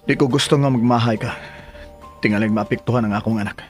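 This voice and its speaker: A man's voice: medium pitch, quick pace, faint music behind, close to an everyday voice. An actor with a Filipino accent playing a firm, worried expectant father in a radio drama, speaking earnestly. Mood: insistent.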